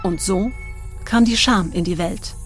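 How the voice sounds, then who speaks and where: A woman's voice: medium pitch, a little slow, soft background music. A woman reading scripted storytelling narration in the present tense.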